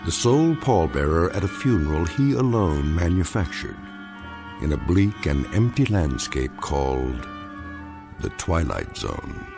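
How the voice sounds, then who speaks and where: An actor playing the solemn, portentous narrator of eerie tales, in measured scripted narration for radio drama. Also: male voice, low voice, big pitch swings, gravelly, full character voice. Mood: ominous, solemn. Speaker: narrator, host. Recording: prominent music bed behind.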